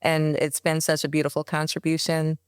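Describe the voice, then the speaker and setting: A podcast host interviewing a guest, asking questions in a steady, measured way. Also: medium pitch, clear, resonant tone, no room sound, studio quality.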